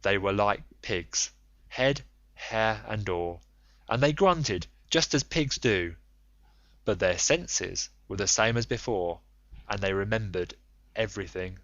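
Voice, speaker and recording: A man reading an ancient epic aloud as scripted literary narration, in a measured storytelling delivery. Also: lowish voice, a bit bright, no room sound, muffled recording. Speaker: audiobook reader.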